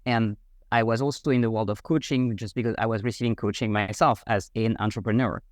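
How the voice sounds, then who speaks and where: French-accented man explaining in measured steps. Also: on the low side, steady tone, no echo, studio quality. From France. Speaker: guest, French learning coach. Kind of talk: explanatory interview answers.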